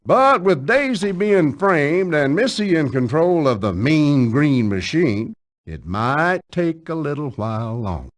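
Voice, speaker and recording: An actor playing a folksy, wry storyteller, narrating a video game. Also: male voice, a little high, sing-song, unhurried. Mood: wry, amused.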